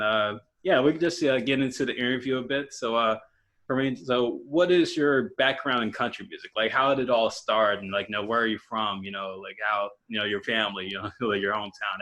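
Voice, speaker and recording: A young man hosting a podcast interview, casual and halting, full of fillers and restarts. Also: on the low side, a bit bright. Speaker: podcast host.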